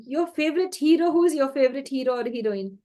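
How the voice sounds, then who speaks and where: Woman host, polite, formal, fairly high voice, interviewer. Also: lively intonation, quick pace, dark tone. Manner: polite, formal, addresses guest as dear and ma'am. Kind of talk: online interview.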